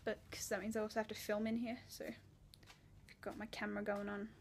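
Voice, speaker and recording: A woman's voice: medium pitch, monotone, moderate pace, gravelly, a little hiss or hum. A young adult woman with an Australian accent, chatting casually and playfully into a handheld phone as a video diary. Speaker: vlogger. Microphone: phone at arm's length.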